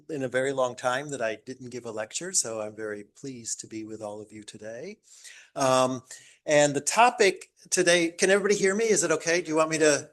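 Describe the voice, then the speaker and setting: A man's voice: a little high, a bit bright. Older man giving a chatty lecture. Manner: chatty, self-deprecating, joking asides. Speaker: guest lecturer. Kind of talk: academic lecture to a live audience. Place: lecture room.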